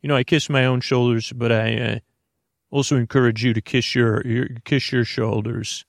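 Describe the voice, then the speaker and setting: A man hosting a bedtime podcast in a meandering, halting monologue, reassuring the listener, often repeating himself and trailing off mid-thought. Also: medium pitch, little pitch movement, creaky, no echo, clean studio sound. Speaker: podcast host. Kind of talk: rambling bedtime monologue to help listeners sleep.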